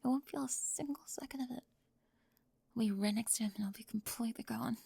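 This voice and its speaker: Actress as fragile, defensive teen perfectionist in ASMR roleplay. Breathy, halting delivery with a creaky edge, very close and dry. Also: female voice, on the high side, warm tone, no echo. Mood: upset, spiraling. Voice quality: breathy, often dropping into whisper. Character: anxious perfectionist teenage girl at prom, vulnerable, defensive. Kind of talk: ASMR roleplay audio drama.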